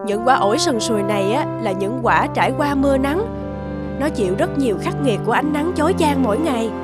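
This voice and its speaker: A woman voicing a gentle, wise young mother in a children's cartoon. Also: sits high, lively intonation, a little fast. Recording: music behind the voice.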